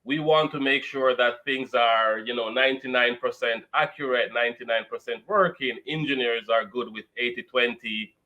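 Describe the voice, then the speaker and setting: A man's voice: mid-range voice, moderate pace, hardly any pauses, narrow, tinny audio. A man with a Jamaican accent giving his background as an investor guest in an interview. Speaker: real estate investor, podcast guest. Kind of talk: interview, recounting career background.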